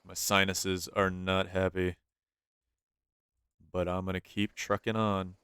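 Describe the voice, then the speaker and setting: A young man gives relaxed gameplay commentary in a slightly low voice that comes through stuffy and nasal. He rambles, wonders aloud and repeats his questions to himself. Despite the congestion his tone is smooth and clean, recorded close on a dry mic. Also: takes it slowly, no room sound. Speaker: gaming YouTuber.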